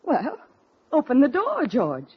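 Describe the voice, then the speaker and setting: High-voiced, suspicious American heiress. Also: female voice, huge pitch swings, slow, full character voice. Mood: coolly polite. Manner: crisp, pointed, sardonic. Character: suspicious, strong-willed heiress confronting an impostor. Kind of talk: 1940s radio mystery acting. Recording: muffled, noisy vintage radio recording.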